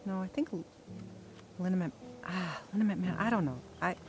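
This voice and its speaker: Relaxed woman in casual studio chat. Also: on the low side, brisk, over music.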